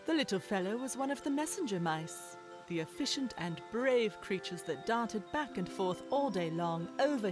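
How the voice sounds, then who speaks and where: A woman narrator reading a scripted children's story in an expressive, measured storytelling manner. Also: mid-range voice. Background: music bed.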